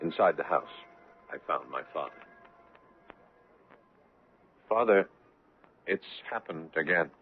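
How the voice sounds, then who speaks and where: A man's voice: rumbling low, animated, moderate pace, slight background noise. A man playing an earnest, anxious young bridegroom, telling his story in formal, ornate phrases in a 1970s radio drama. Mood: shaken. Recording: muffled vintage radio broadcast.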